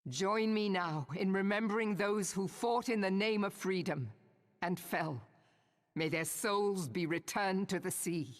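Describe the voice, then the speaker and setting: An actress voicing a proud, solemn seafaring woman admiral in a video game, giving a measured, declamatory ceremonial speech. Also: mid-range voice, close to an everyday voice. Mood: solemn, resolute. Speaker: military leader addressing followers. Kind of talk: rousing ceremonial oration, video game voice acting. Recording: long hall reverb.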